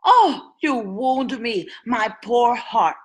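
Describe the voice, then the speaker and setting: A woman's voice: fairly high pitch, lively intonation, slow pace. A woman playing a mischievous rhyming goblin gardener in a comic radio play, with a sing-song manner. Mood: theatrical, coveting. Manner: sing-song baby-talk rhymes.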